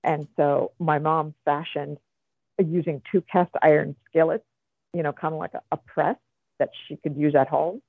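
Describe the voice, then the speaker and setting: A Cuban-born man talks over a tinny phone line, his voice gravelly and a touch high for a man. He rambles in a friendly way, full of fillers and restarts. The sound is dry and close. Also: steady tone, moderate pace, no room sound. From Cuba. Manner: chatty, rambling with many fillers. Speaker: guest. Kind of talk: radio interview.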